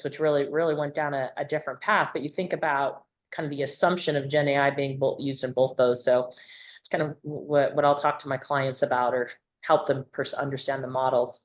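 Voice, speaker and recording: A woman with a very low voice moderates a webinar panel over a video call, talking in a loose, conversational way full of fillers. The audio is dull and lo-fi, as from a call.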